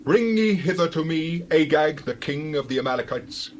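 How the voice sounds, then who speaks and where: A man's voice: on the high side, animated, moderate pace, a little hiss or hum, natural voice. A man playing a stern, righteous prophet in a dramatized scripture reading, solemn and declamatory. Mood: grim command.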